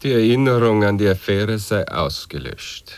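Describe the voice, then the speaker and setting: An actor playing a terse man who deliberately intones absurd phrases in a radio play. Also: on the low side, expressive, no echo, lightly acted. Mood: flat statement. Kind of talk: avant-garde radio play recitation.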